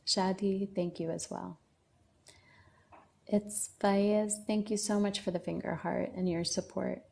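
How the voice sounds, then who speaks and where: A woman's voice: mid-range voice, little pitch movement, a little slow, creaky, darkish tone. A woman hosting a casual livestream, reading out viewer comments and answering them one by one. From Lebanon. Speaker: livestream host.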